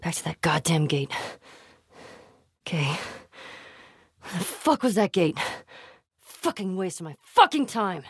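Tough young american woman in a survival game, husky, sweary, swings around a lot. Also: fairly low pitch, very animated, unhurried, long pauses, no room sound, clean studio sound, exaggerated voice. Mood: irritated, sardonic. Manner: sweary, muttering, sardonic. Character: tough, foul-mouthed young woman surviving infected, sardonic. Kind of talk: naturalistic video game voice acting.

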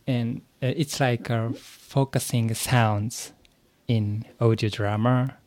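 A man from Japan with a Japanese accent, answering interview questions as an English learner, haltingly searching for words. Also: mid-range voice, steady tone, a little mellow, no echo. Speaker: podcast guest, English learner.

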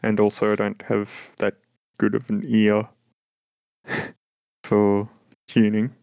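A young man with a very deep voice, chatting casually as a podcast co-host and talking in halting, hesitant phrases full of restarts. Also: monotone, vocal fry, a little mellow, no room sound. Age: young adult. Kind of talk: casual chat.

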